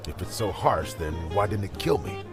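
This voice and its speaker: An actor playing a weary, guarded ex-soldier woken from cryo-sleep, gruff and curt. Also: male voice, low voice, expressive, normal speed, over loud music. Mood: brooding. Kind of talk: audio drama acting.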